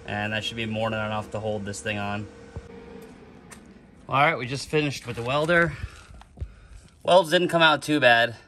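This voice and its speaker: Bright-voiced man narrating a driveway DIY job. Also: mid-range voice, slight background noise. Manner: relaxed, plain-spoken, modest. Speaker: DIY channel host. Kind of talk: casual how-to narration. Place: outdoors on a concrete driveway. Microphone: camera mic, one hand on camera.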